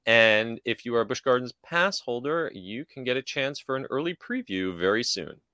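A man co-hosting a podcast, going through theme park news informally, with a somewhat halting delivery. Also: mid-range voice, lively intonation, bright, forceful tone, no room sound. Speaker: podcast co-host.

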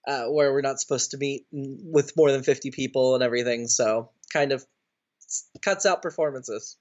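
A man's voice: medium pitch, moderate pace, darkish tone. A man being interviewed as a guest, explaining things casually over a slightly lo-fi line. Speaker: interview guest, writer and performer.

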